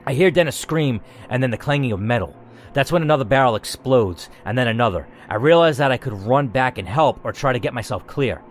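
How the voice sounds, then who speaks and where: A man's voice: medium pitch, expressive, a little fast. A man narrating a story game dramatically and reacting to its choices with emphatic commentary, as a gaming host.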